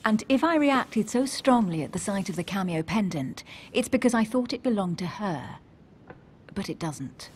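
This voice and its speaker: A woman's voice: medium pitch, animated, normal speed, darkish tone, slight background noise, natural voice. An actress playing a guarded, witty, self-possessed woman of a secret society in a video game, composed and articulate. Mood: discreet, softer. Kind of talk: dramatic video game dialogue. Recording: music under the voice.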